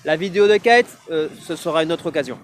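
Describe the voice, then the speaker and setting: A man with a high voice speaks reflectively outdoors, pausing often and hunting for the right words. Faint wind and passing traffic sit behind him on the recording. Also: animated. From Martinique. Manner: reflective, searching for words with many fillers. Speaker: vlogger. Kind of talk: casual personal vlog monologue.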